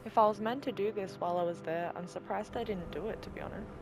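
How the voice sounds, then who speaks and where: A woman's voice: medium pitch, quick pace, music in the background. A woman giving casual gameplay commentary, thinking aloud in loose, sometimes sweary talk. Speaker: gaming streamer.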